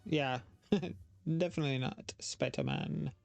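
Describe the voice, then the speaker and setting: A man's voice: fairly high pitch, a little slow, vocal fry, darkish tone, faint music behind. A man giving casual reaction commentary as a host, often thinking aloud and hesitating.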